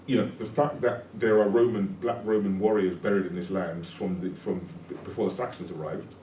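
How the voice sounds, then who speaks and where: A man's voice: low voice, fairly even intonation, brisk, dark tone, a little hiss or hum. A British-born man hosting an academic conversation, thinking aloud and often repeating words as he works through an idea. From Britain. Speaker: academic host. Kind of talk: conversational discussion. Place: room at a public talk event. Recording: roomy, distant mic, faint ambience.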